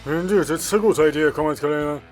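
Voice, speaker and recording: A man's voice: on the high side, fast, faint music behind, natural voice. A man voicing a curt, weary male commander giving orders with dry sarcasm. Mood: commanding.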